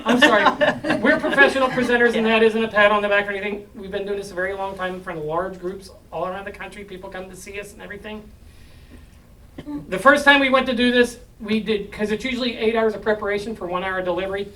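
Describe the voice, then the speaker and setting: A man with a fairly high voice gives a chatty, joking talk to an audience, teasing himself as he goes. He is caught by a mic set well away from him. A faint hum of room ambience sits underneath. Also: fairly even intonation, fast, pushed and bright, far from the mic, low-quality recording. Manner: joking, self-mocking, chatty. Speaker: presenter.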